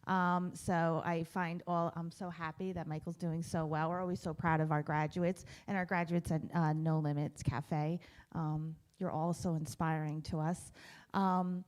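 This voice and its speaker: A woman's voice: low-pitched, flat delivery, a little slow, darkish tone. A woman in her forties, a lawyer and school board president, speaking earnestly to a panel. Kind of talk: casual remarks to a panel.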